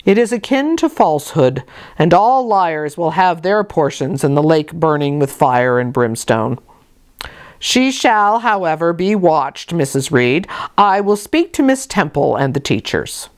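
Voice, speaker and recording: A woman acts out a stern, pious clergyman of middle age from a classic storybook. The delivery is pompous and moralizing, with wide swings in pitch on a fairly low base. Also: big pitch swings. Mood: sanctimonious. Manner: pompous, sanctimonious, slow deliberate moralizing. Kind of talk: dramatized audiobook reading.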